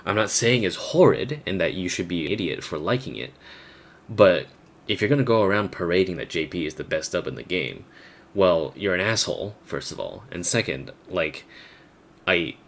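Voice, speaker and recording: A man's voice: sits low, vocal fry, fairly bright tone. A young man giving a casual, blunt, rambling, profane rant as a gaming video creator. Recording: faint background noise.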